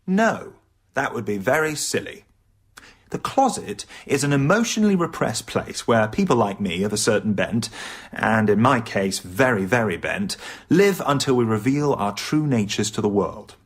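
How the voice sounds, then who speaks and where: A man acts as an English comic narrating his life for a radio play. The voice is husky and very animated, wry and candid, with arch, self-important asides. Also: medium pitch, normal speed, close to an everyday voice. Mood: wry, confiding. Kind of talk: scripted first-person radio narration.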